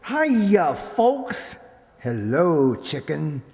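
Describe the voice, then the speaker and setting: A man's voice: fairly high pitch, very animated, a little mellow, full character voice. A man playing a slangy, scheming small-time gambler boyfriend with an American accent, in old-time radio comedy style. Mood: breezy. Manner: slangy wise guy, drawn-out delivery. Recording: echoey hall recording.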